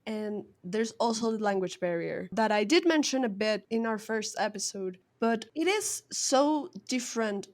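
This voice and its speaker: Higher-pitched woman. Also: expressive, moderate pace, hardly any pauses. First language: Spanish. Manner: thoughtful, explanatory, academic. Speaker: podcast co-host. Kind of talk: casual podcast chat.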